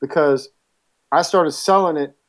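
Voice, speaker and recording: Frank East Coast-accented man, fairly high voice. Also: a little slow. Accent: East Coast American. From California. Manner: frank, matter-of-fact, relaxed. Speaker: guest. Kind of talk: candid storytelling in conversation.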